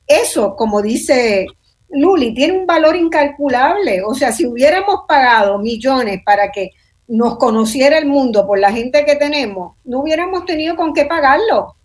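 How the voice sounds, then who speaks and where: Older woman, Puerto Rican accent, fairly high voice, reflective panel host. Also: lively intonation, moderate pace. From Puerto Rico. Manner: thoughtful, reflective, tags sentences with "¿verdad?". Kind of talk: panel discussion.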